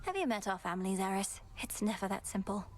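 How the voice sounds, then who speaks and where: A woman playing an earnest young underworld princess in a video game, speaking formally and with measured courtesy. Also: mid-range voice, expressive, slight background noise, close to an everyday voice. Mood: earnest, respectful. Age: young adult.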